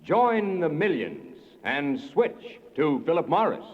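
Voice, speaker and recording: Animated male 1940s radio announcer. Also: on the high side, slow pace. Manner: polished, emphatic. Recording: dull 1940s radio recording.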